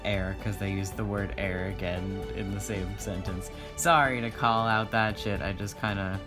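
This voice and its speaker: A man's voice: deep voice, fairly even intonation, normal speed. A man reading a story aloud as voiceover, with informal, sweary and joking asides of commentary. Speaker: voiceover reader. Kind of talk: story narration with casual commentary. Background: music.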